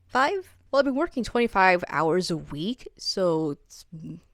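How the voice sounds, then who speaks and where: Low-voiced young woman vlogging at home. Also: very animated, a bit bright, no echo, clean studio sound. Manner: quick talker who stumbles over words. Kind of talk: casual chat to camera. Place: at home, indoors.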